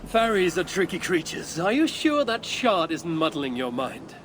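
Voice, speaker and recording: An actor playing a guarded, self-reliant adventurer in a video game, with a blunt, exasperated delivery. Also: male voice, high-pitched, sing-song, moderate pace, long reverb tail, a little hiss or hum. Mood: wary, questioning. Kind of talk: video game character acting. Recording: loud music behind dialogue.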